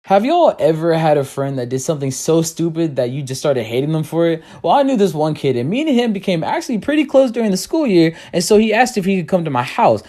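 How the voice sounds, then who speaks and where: Brief: young guy, comedic storytime narrator, very lively pitch, swears freely. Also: fast talker, few pauses. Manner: animated, expressive, cursing freely.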